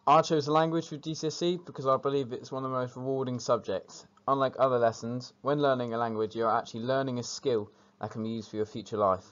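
Brief: teen schoolboy, rapid fluent read, muffled sound. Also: male voice, medium pitch, steady tone. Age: teenager. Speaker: student. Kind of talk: reading a prepared statement.

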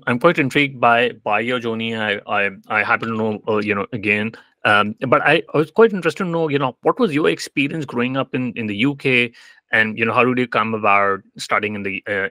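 A man's voice: mid-range voice, a little fast, sharp tone, no echo. A man hosting a podcast interview over a video call, asking questions hesitantly with repeated words and many "you know" fillers. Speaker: podcast host. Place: indoors, home room. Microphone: video call webcam.

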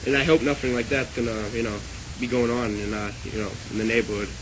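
A man prank calling as a stiff, solemn government agent, hesitant and deadpan, on a noisy phone call recording. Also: mid-range voice, quick pace, natural voice. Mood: deadpan, official. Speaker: prank caller.

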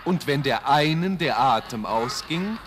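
A man narrating a children's fairy tale in an expressive scripted style, with deliberate, dramatic phrasing. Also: medium pitch, a little hiss or hum.

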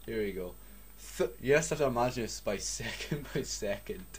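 A man's voice: mid-range voice, lively intonation, normal speed, a little hiss or hum. A young Scottish man reading a badly written story aloud, mocking it with swearing and jokes. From Scotland. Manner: sarcastic, swears a lot, breaks off to joke. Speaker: reader.

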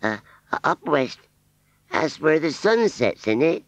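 A man's voice: a little high, big pitch swings, no echo, voice pushed up, heavily acted. A man playing a bumbling rustic scarecrow. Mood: shy, friendly. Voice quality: rustic country dialect, ungrammatical. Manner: slow, hesitant, stammering. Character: simple, bumbling male scarecrow, touchy and shy.

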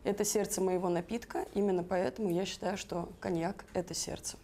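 A woman from Saint Petersburg, a bartender contestant, calmly explaining her entry to camera. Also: on the low side, fairly quick, a little hiss or hum. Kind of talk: interview to camera.

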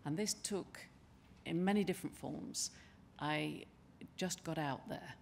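An older woman giving a conference presentation at a lectern in a large wood-panelled hall, speaking in a measured, conversational way with frequent ums and restarts. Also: low voice, vocal fry, slight background noise. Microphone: lectern microphone.

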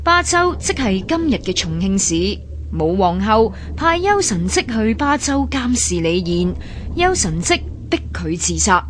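A woman's voice: mid-range voice, big pitch swings, measured pace, slight background noise. A woman with a Hong Kong Cantonese accent reading scripted historical narration. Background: background music.

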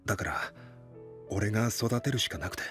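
A man's voice: fairly low pitch, expressive, moderate pace, fairly bright tone, faint music behind. A man acting an earnest, weary young idol in an anime-style audio drama, speaking casually and frankly. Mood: subdued, resigned. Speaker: voice actor.

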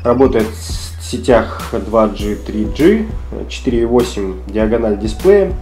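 Slightly hoarse man unboxing a phone. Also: on the low side, sing-song, measured pace, warm tone, faint music behind. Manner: chatty, thinking aloud with frequent "uh" fillers. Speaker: tech reviewer. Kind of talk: casual unboxing commentary. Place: office desk indoors.

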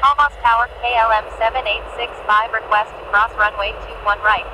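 A woman's voice: fairly high pitch, steady tone, a little fast. A woman giving formal, procedural air traffic radio calls in clipped, scripted phraseology. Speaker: air traffic controller and pilot radio voice in a game. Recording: faint radio background noise.

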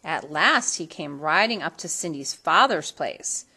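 A woman reading a children's storybook aloud in an expressive, theatrical manner that leans on colorful words. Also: low voice, measured pace, slight background noise. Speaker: storybook reader.

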